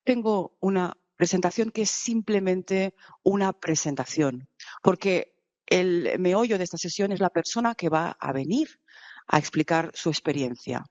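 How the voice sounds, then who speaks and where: A woman giving live conference interpretation, halting at times with restarts and bursts of speed. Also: fairly low pitch, expressive, darkish tone, no room sound, lo-fi sound. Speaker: simultaneous interpreter.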